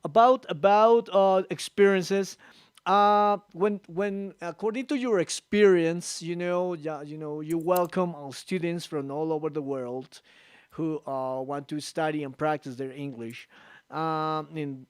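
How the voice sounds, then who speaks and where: A radio interviewer, a man whose first language is Spanish, asks his questions in English with a slightly high voice. He hesitates and restarts his phrases often, filling the gaps with "uh". The sound is dry and close. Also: clean tone, no room sound.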